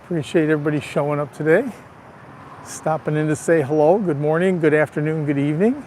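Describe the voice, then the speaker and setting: Male vlogger, raspy from allergies, chatty tour-guide manner, greets viewers by name; phone mic outdoors, light traffic hiss. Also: on the high side, fast, darkish tone, rough recording. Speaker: livestream vlogger. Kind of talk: casual live walking tour. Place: outdoors on a town street. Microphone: handheld phone.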